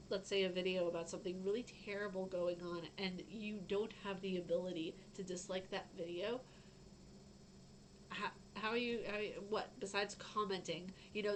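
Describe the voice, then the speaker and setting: A young woman with a fairly low voice, chatting casually on a gaming news stream and reading out news, rambling with lots of fillers. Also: slight background noise, poor audio. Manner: chatty, rambling, frequent fillers and self-interruptions. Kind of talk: casual live-stream chat.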